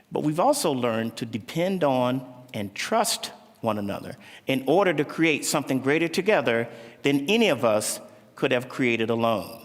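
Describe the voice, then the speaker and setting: A man from Florida, a jazz pianist and bandleader, giving a gracious, formal speech to an audience. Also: medium pitch. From Florida, USA. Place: large indoor arena. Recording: reverberant arena sound.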